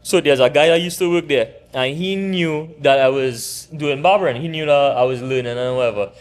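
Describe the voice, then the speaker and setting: A man in his thirties from Trinidad, with a Trinidadian accent, talking relaxed and rambling as a barbershop owner in an interview. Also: medium pitch, a little fast. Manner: relaxed, rambling with restarts and laughs. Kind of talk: casual interview chat.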